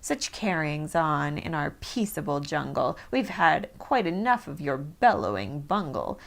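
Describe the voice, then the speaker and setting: Woman putting on a bossy kangaroo lady, snapping, disapproving, gravelly, storybook. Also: sits low, sing-song, unhurried, voice pushed down, full character voice.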